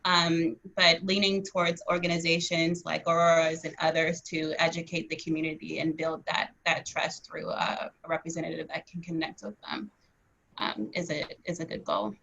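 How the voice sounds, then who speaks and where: Bright low-pitched woman, dull call audio. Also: flat delivery, normal speed, creaky. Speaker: nonprofit assistant director. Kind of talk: reporting back in a group discussion.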